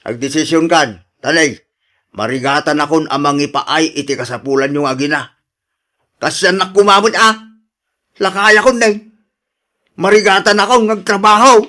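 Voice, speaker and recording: Exasperated aging father for radio drama: bright, higher-set voice, emphatic and scolding. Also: moderate pace, long pauses. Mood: weary, insistent. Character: exasperated aging father pressing his daughter to marry.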